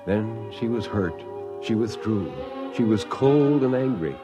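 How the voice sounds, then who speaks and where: Gus, a wry, lively spaceman. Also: on the low side, a little slow, music in the background. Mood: rueful narration. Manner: wry, colloquial. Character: Gus, wry slouching male spaceship crewman telling the tale. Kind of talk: 1950s science fiction radio acting and narration.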